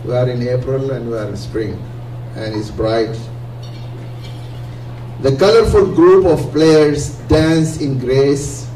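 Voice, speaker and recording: A man hosting an event, reciting a poem in a slow, declamatory way. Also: mid-range voice, dark tone. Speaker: event host. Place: small community hall. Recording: roomy hall, faint hum, from far off.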